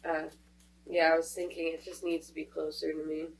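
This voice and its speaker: Woman, congested, casual tarot reader, chatty, somewhat low voice, distant mic, faint background hum. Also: monotone, brisk. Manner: chatty, thinking aloud with fillers. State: stuffed up from a cold.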